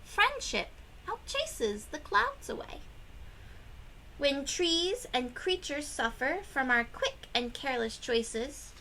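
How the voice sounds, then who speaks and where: A woman reading a picture book aloud to young children, with expressive emphasis and questions to her listeners. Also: high-pitched, slow pace, slight background noise, poor audio. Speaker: children's read-aloud host.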